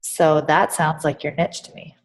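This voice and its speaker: A woman with a somewhat low voice coaches a guest on her podcast. She asks probing questions and keeps her tone encouraging. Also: level tone, normal speed, low-quality recording. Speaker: podcast host and business coach. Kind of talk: coaching conversation.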